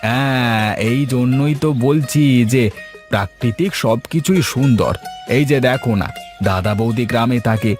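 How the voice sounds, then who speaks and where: A man's voice: mid-range voice, moderate pace, faint music behind, close to an everyday voice. Man acting a kindly village family man. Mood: earnest, content. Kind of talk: Bengali moral story cartoon voice acting.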